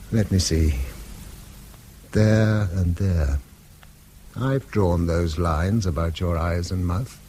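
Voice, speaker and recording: A man's voice: low voice, a little mellow, slight background noise. A man acting a weary, bitter middle-aged schoolmaster and father in a British radio drama, speaking eloquently and self-reproachfully in a British accent. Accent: British English. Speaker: actor.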